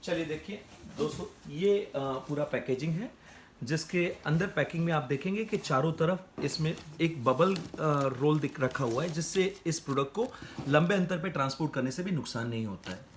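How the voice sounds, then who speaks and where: A man with a slightly high voice presents a product demonstration. He explains things step by step and addresses viewers as friends. There is noticeable background noise around his voice. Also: moderate pace. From India. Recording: clear background noise and ambience.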